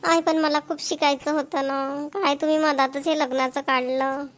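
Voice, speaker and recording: A woman's voice: high-pitched, little pitch movement, moderate pace, fairly bright tone. A woman voicing a carefree, stubborn young college student, with a playful, protesting manner.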